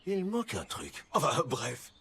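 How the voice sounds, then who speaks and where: A man's voice: medium pitch, wildly swooping pitch, unhurried, vocal fry, noisy background, exaggerated voice. A man voicing a cocky, showy but friendly celebrity stunt motorcycle in a children's cartoon. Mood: self-satisfied. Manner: boastful, showy. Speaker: cartoon character voice actor. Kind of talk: animated children's cartoon dubbing. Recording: loud music behind the voice.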